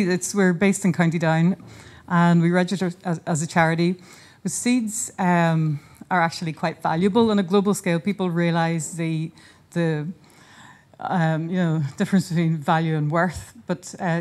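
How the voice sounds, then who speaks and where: Woman, somewhat low voice, earnest halting conference talk. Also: fairly even intonation, darkish tone. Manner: earnest, informal, halting with frequent restarts. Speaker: conference speaker and seed charity founder. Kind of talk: conference presentation. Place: hotel conference room.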